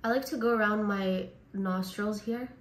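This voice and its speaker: A woman from Canada, hoarse and raspy from a cold, chatting casually as a beauty vlogger through a makeup tutorial. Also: medium pitch, steady tone, normal speed, roomy sound. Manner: chatty, says like and honestly a lot.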